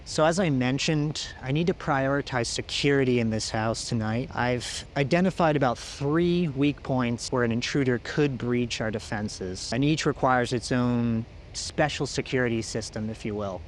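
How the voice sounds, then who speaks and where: A man's voice: medium pitch, close to an everyday voice. An actor playing a lone apocalypse survivor, uneasy but practical, talking conversationally to his camera in a found-footage vlog. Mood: methodical, matter-of-fact. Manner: conversational, matter-of-fact, slightly deliberate. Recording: noisy camcorder-style recording with ambience.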